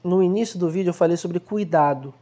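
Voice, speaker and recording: A man's voice: sits high, brisk, warm tone. A man hosting a casual film analysis, explaining ideas conversationally and acting out everyday examples.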